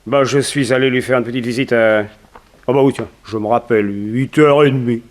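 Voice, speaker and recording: An actor playing an older, respectable widower who is flustered and evasive, stammering and protesting in a 1960s French radio serial. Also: male voice, mid-range voice, steady tone, brisk, natural voice. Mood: nervous, evasive. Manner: stammering, hesitant, indignant protests.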